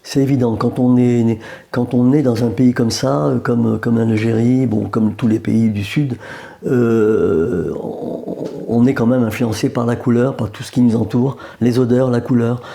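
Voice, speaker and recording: A man's voice: mid-range voice, steady tone, measured pace, creaky, mellow. A man from Algeria, a painter, chatting in an interview in a gallery, with many hesitations and joking asides. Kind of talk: casual interview. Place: art gallery room.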